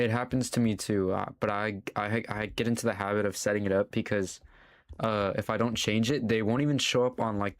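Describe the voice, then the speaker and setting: A young adult man chatting casually to his chat while playing a game live, swearing now and then. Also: on the low side. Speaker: streamer. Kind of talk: casual live gaming commentary.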